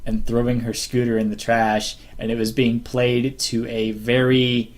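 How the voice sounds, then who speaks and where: A man co-hosting a casual group podcast, talking haltingly as he searches for words. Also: medium pitch, monotone. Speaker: co-host of a fan podcast. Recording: clear background noise and clicks.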